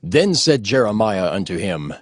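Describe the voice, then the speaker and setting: A man narrating scripture from a script in a measured, formal way. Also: fairly low pitch, very animated, dry recording. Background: soft music.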